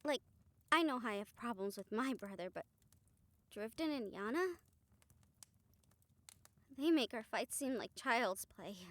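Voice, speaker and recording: A woman's voice: a little high, expressive, unhurried, pauses often, no echo. A woman playing a wry, caring young woman friend in a fantasy audio roleplay, speaking casually and hesitantly, as if to one listener. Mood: wry, musing. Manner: casual, hesitant, chuckling, mildly sweary. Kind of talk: audio roleplay acting, intimate one-sided conversation with listener.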